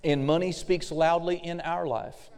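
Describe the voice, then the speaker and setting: A middle-aged male pastor preaching a fervent sermon with frequent amens, his voice slightly hoarse and a little raspy. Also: fairly high pitch, steady tone, a little slow, a little mellow. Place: church sanctuary. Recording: long hall reverb.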